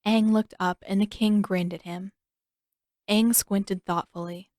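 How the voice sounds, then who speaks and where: A woman reading a fan fiction story aloud in a steady, even storytelling manner. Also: medium pitch, a little slow, no echo, studio quality. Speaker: podfic reader.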